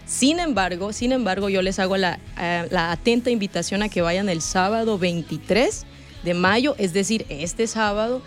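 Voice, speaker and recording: Woman, Salvadoran accent, mid pitch, casual interview guest, chatty and giggly, faint music behind. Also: moderate pace. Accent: Salvadoran Spanish.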